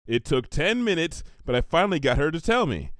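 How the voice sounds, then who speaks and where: Studious cartoon girl pony voiced by a male reader: weary, precise, well-meaning, lightly raised pitch. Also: very animated, a little fast, fairly bright tone, dry recording, studio quality. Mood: concerned. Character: bookish, exasperated, well-meaning young female pony.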